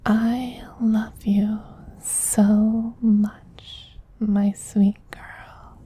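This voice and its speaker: Man playing a breathy, whispering, doting vampire queen. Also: sits high, little pitch movement, full character voice. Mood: soothing, loving. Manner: slow, tender, cooing, gently commanding. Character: doting, possessive, dominant femboy vampire queen soothing human pet. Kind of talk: soft-spoken ASMR roleplay.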